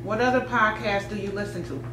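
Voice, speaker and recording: A woman's voice: mid-range voice, a little fast, over music. A woman casually putting questions to a group as the interviewer. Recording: noisy echoey room with hum.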